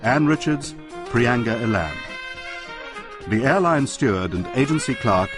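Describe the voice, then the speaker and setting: A man reading scripted radio credits as an announcer, formal and clearly phrased. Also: mid-range voice, expressive, unhurried. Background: music.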